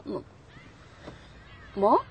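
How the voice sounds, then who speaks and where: A woman's voice: very slow, highs cut off. An actress playing a materialistic, snobbish middle-aged mother in a TV drama, theatrical and exclamatory, with some background noise. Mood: indignant. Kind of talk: television children's drama acting.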